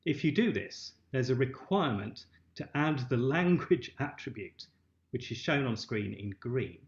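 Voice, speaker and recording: A man with a medium-pitched voice explains material as a webinar presenter. His delivery is prepared and instructional, heard through a dull recording in an echoey room. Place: indoor room. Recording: dull, roomy webcam-style recording.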